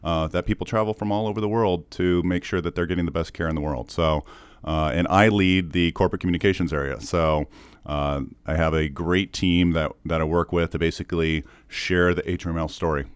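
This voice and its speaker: A man's voice: deep voice, steady tone, brisk, clear, resonant tone, no echo. A man in his forties who grew up in the Netherlands with Dutch as his first language, a podcast guest talking in a thoughtful, rambling way with restarts. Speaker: podcast guest, corporate communications leader. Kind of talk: casual interview chat.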